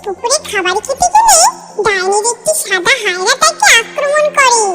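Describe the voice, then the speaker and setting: A children's cartoon narrator tells a scripted story in a high voice with lively pitch movement. Loud music plays behind the narration. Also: normal speed.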